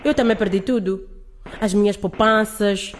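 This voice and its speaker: A woman's voice: medium pitch, fairly even intonation, normal speed, a little hiss or hum, natural voice. A woman playing an anxious, resentful aunt in a radio drama, complaining and insistent. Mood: bitter, demanding.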